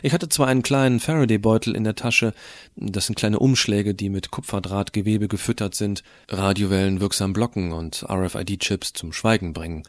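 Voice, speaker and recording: A man playing a tech-savvy, rebellious high school student who narrates his story in an explanatory, colloquial way. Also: fairly even intonation, normal speed, no echo, lightly acted. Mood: conspiratorial, matter-of-fact. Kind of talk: scripted audio drama narration.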